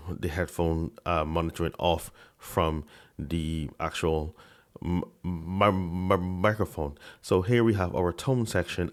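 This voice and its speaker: A man giving a casual tech review at his desk, talking into a desk microphone, often stuttering and repeating words. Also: low voice. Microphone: desk microphone under review.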